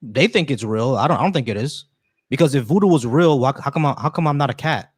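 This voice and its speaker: A man hosting a call-in stream, arguing quickly and repeating phrases to make his point. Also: medium pitch, expressive, breathy edge, no room sound, studio quality. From United States. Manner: rapid, argumentative, repeats phrases. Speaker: host. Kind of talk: casual debate on a call-in stream.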